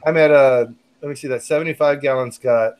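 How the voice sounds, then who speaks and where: A man's voice: mid-range voice, fairly even intonation, a little fast, clean tone. A man with a West Coast accent chatting casually on a group video call, rambling and repeating himself. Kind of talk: casual chat.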